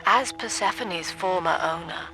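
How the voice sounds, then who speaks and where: An actress playing a middle-aged British cult leader and controlling mother in a video game, speaking in a measured, formal, clinical way. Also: on the low side, bright, forceful tone, soft background music, poor audio. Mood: taunting. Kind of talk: dramatic video game voice acting.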